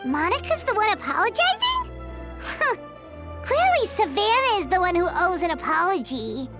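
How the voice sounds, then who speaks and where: A woman voicing a tiny, blunt, excitable childlike fairy companion in a video game, who talks about herself by name. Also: extremely high pitch, normal speed, faint music behind, exaggerated voice. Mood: miffed. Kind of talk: cartoonish video game voice acting.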